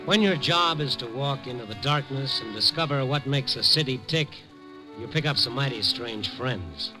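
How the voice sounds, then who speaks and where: A man's voice: medium pitch, moderate pace, close to an everyday voice, low-quality recording. A man playing a hard-boiled newspaper reporter who narrates in a wry, streetwise 1950s radio drama style. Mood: world-weary. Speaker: narrator and lead. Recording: old radio broadcast with music.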